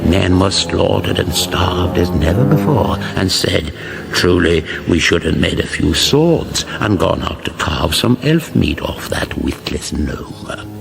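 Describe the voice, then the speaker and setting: An actor playing a grave, portentous storyteller narrating an ancient mock-epic legend for radio comedy. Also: male voice, low voice, creaky, full character voice. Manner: solemn, portentous.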